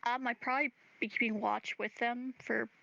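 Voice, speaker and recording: A woman talks casually as a player in a tabletop game. Her voice is bright and heavily creaky, heard dry through a somewhat dull, lo-fi microphone. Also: on the high side, steady tone, normal speed, no echo.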